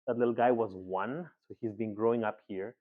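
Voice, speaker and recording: A man from Ecuador giving a conversational presentation as a guest speaker, often pausing with filler words and repeating himself. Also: lowish voice, fairly even intonation. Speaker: guest speaker, agency founder. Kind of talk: presentation talk. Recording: slightly dull, lo-fi sound.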